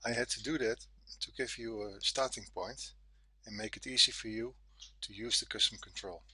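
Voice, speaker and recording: A man with a Dutch accent, a native Dutch speaker, giving a software tutorial in careful, simple phrases. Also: on the low side, steady tone, normal speed, a little hiss or hum. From Netherlands. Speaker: software developer, guest presenter.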